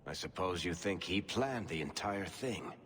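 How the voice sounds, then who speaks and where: Deep sardonic storyteller in echoing hall. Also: male voice, animated, measured pace, fairly bright tone. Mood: sardonic, defensive. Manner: sardonic, conversational. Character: wry, loyal male storyteller under interrogation. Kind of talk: video game voice acting. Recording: reverberant hall, faint noise.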